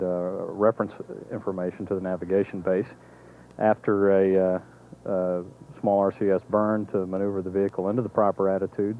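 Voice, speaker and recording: An American man giving measured, matter-of-fact commentary as a mission control announcer, pausing often as he explains. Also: sits low. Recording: muffled narrow-band archival broadcast audio with hiss.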